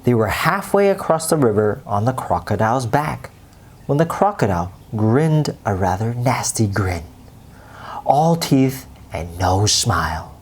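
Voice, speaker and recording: A woman with a very deep voice reads a children's bedtime story. Her delivery is unhurried and expressive, swinging in pitch, with noticeable noise in the recording. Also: slightly rough.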